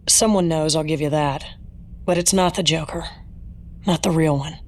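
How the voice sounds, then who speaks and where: A woman's voice: sits low, steady tone, normal speed, close to an everyday voice. A woman playing a weary, guarded former crime fighter in an audio drama, subdued and serious.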